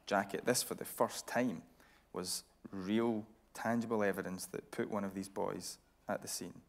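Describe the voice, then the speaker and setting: Low-voiced male interviewer. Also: steady tone, unhurried. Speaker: interviewer, TV reporter. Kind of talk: conversational on-stage interviewing. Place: radio theatre stage.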